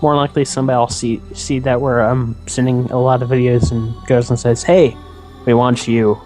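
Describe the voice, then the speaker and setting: A man giving casual let's play commentary in a halting, rambling way, often stammering. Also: medium pitch, flat delivery, warm tone, poor audio. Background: video game music.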